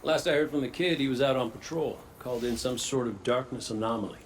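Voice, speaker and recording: An actor playing a wry, easygoing resurrected robot gunslinger in a video game cutscene, talking in a folksy, colloquial way. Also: male voice, mid-range voice, steady tone, quick pace, a little hiss or hum, close to an everyday voice. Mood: warm, practical. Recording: music behind the voice.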